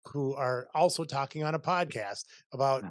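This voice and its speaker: A man's voice: medium pitch, moderate pace, no room sound, studio quality. A middle-aged man hosting a podcast interview over a video call, upbeat and prone to rambling restarts. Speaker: podcast host, dentist. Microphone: studio mic on boom, video call.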